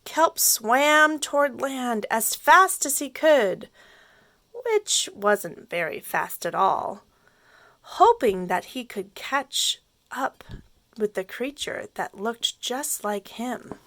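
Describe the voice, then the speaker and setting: A woman teacher reading a children's story aloud expressively, pausing to explain things simply for young listeners. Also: a little high, lively intonation, pushed and bright.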